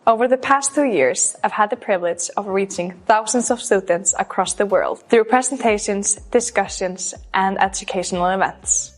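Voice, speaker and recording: A woman reads a prepared self-introduction as a pageant contestant. Her voice sits at an ordinary middle pitch, and her delivery is earnest and measured, with a steady recited rhythm. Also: soft background music. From Iceland. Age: 20s.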